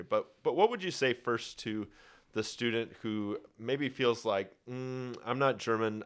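A male podcast host with a fairly low voice. He asks his guest long, winding questions. He searches for words and fills pauses with frequent ums. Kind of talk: interview questions.

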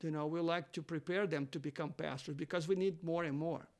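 A man who speaks English as a non-native language, a seminary teacher talking as a guest on a podcast interview, halting with many fillers and restarts. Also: a little high, steady tone, a little mellow. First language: not English.

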